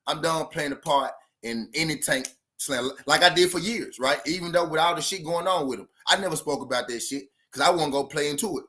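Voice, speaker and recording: A man's voice: on the high side, fairly quick, sharp tone. A man hosting a boxing channel live stream, talking loosely and profanely and repeating himself. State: says he is high. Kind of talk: casual live-stream talk.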